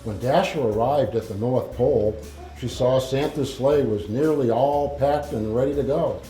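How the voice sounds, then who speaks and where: A man reading a story aloud to children in a halting, informal manner. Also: medium pitch, warm tone. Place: indoor library room with audience. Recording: echoey room, faint music.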